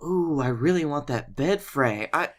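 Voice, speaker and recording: A man's voice: on the high side, lively intonation, measured pace. Rambling American man swapping comic stories. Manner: rambling, profane, conversational. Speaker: comedy podcast co-host. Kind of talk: casual comic storytelling chat.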